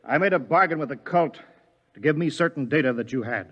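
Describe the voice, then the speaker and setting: A man's voice: a little high, expressive, brisk, fairly bright tone, no echo, dull audio. An actor playing a stern male scientist who runs an observatory in a 1950s radio drama, curt and authoritative.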